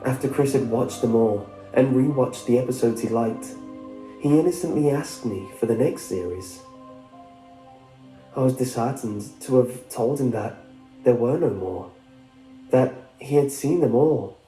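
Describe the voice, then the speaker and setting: A man narrating a scripted first-person story in a measured, wistful manner. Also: mid-range voice, mellow. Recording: roomy echo, music behind. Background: background music.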